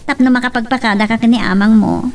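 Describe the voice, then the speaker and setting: A woman with an Ilocano accent playing a lively young lady of a wealthy family in a radio drama. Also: on the high side, fast talker, faint music behind, lightly acted. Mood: chatty, insistent. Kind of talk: Filipino radio drama acting.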